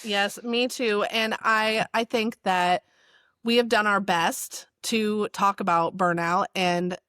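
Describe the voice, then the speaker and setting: A woman's voice: mid-range voice, steady tone, slow, a bit bright, smooth, no room sound, studio quality. A woman co-hosting a podcast, introducing a guest with enthusiastic, upbeat chat. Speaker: podcast co-host.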